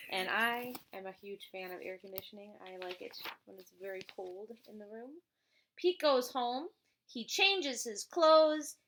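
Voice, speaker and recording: A woman's voice: fairly high pitch, bright, forceful tone. A woman reading a children's picture book aloud as a teacher, playful and chatty, with casual asides and questions to a young listener.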